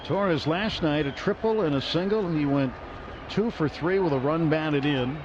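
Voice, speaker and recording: Man calling baseball on radio. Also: on the high side. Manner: brisk, measured play calling. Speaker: radio play-by-play announcer. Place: ballpark broadcast booth. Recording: muffled radio broadcast with crowd noise.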